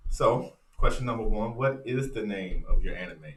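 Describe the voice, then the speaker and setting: A man's voice: on the low side, normal speed, a bit bright. A man hosting a casual interview, asking his questions in an informal, easygoing way. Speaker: podcast host. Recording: roomy, distant mic, faint background noise.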